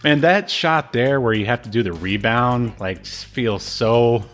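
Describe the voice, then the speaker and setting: A man's voice: mid-range voice, a little slow, fairly bright tone, soft background music. A man co-hosting a podcast, chatting casually and jokingly about a game in loose, slangy phrases that often trail off. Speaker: podcast co-host.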